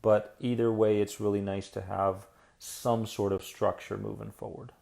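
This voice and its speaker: Man with a gravelly, mellow coaching voice. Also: on the low side, little pitch movement. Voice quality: soothing radio-style voice. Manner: upbeat and encouraging, reads out viewer comments; slow soothing guided-meditation delivery. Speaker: anxiety coach hosting a live stream. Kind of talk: casual live-stream chat answering viewer comments, then guided visualization.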